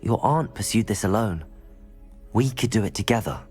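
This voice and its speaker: A man's voice: fairly low pitch, lively intonation, moderate pace, darkish tone, soft background music, lightly acted. A man playing a curious, persuasive young wizard student in a game, earnest and polite. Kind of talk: scripted game cutscene acting.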